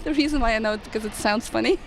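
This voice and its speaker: A woman's voice: a little high, very fast, gravelly, crowd noise. Friendly woman speaking carefully. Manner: friendly, laughs often, pronounces carefully. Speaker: air traffic controller guest. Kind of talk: casual livestream chat. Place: outdoors near an airport.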